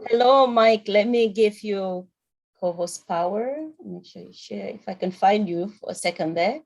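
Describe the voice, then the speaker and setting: Woman, forum host on a video call, mid-pitched, brisk and chatty, thinks out loud. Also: gravelly. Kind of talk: casual video-call moderating. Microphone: computer on a video call.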